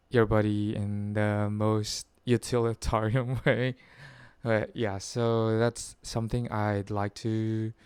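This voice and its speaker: A man in his twenties from the Philippines rambles through a personal update, pausing often and correcting himself. His voice sits a little low and comes across very clean. It is recorded close and dry, with no room sound. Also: a little mellow, clean tone, no echo. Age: 20s. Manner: hesitant, full of fillers and self-corrections. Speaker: vlogger. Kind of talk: rambling personal chat to camera.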